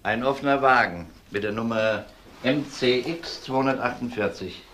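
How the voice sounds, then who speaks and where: A man's voice: medium pitch, normal speed, fairly bright tone, lightly acted. A man playing a composed police detective in a crime radio drama, questioning politely and methodically. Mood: matter-of-fact, probing. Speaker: actor.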